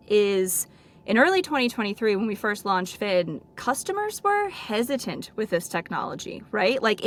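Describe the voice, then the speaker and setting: A woman's voice: medium pitch, fairly bright tone. Woman explaining as an interview guest. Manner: informal, peppers speech with "like" and "right?". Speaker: interview guest, product director. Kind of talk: conversational interview answers, explaining.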